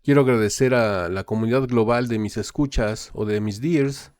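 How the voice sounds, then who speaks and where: A man's voice: medium pitch, darkish tone, clear, resonant tone, dry recording. Dry-sounding man. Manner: hesitant, filling pauses with "eh" and "este" while translating. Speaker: reaction channel host. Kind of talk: casual live translation and commentary.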